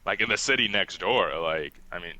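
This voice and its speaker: A young man from Ghana speaks in a very low voice with a sharp, bright edge. He talks loosely and easily, with lots of fillers. The sound is dry and close. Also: normal speed, no echo, rough recording. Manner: relaxed and chatty, laughs often, many "like" fillers. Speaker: guest. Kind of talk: casual interview talk.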